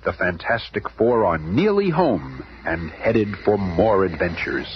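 A man's voice: deep voice, big pitch swings, unhurried. A man narrating a superhero radio drama as an enthusiastic, hammy storyteller. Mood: rousing. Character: enthusiastic, hammy male comic-book storyteller. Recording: muffled, noisy old radio recording.

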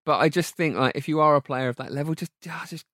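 A man's voice: fairly high pitch, quick pace, fairly bright tone, no room sound, studio quality. A British man chatting casually about football, opinionated and given to mock exasperation. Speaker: podcast guest pundit in the hot seat. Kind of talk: casual football banter chat.

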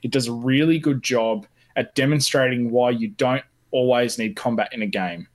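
A man's voice: mid-range voice, moderate pace. A man co-hosting a gaming podcast, giving his opinions in casual discussion.

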